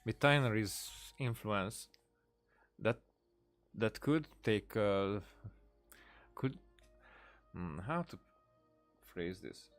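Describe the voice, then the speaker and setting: A man giving casual live game commentary as a streamer, halting and searching for his words. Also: fairly low pitch, animated, faint music behind.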